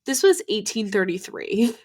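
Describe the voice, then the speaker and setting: A woman's voice: mid-range voice, big pitch swings, moderate pace, darkish tone. A young woman co-hosting a podcast, chatting casually and recounting ghost stories, a little nasal from a cold and full of filler words. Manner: chatty storyteller, many "like"s. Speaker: podcast co-host. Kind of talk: casual chat.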